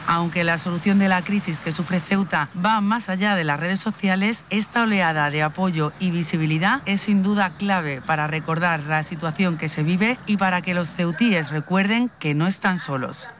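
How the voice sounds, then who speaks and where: Measured woman news reporter. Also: lowish voice, a bit bright, slight background noise. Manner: measured, formal. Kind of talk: scripted news voice-over.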